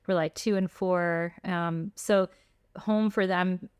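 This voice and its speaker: A woman's voice: on the low side, fairly even intonation, slow, a little mellow, clear, resonant tone, no echo, clean studio sound. A middle-aged woman from Canada chatting informally as an interview guest, often saying "like" and "you know". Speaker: podcast guest, traveling homeschooling mother. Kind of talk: casual interview chat.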